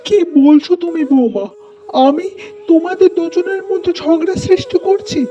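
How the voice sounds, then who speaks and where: A woman playing a weary, gentle elderly mother-in-law in a cartoon family drama, plaintive and placating. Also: extremely high pitch, moderate pace. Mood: pleading. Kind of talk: animated family melodrama acting. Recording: dull lo-fi sound with music.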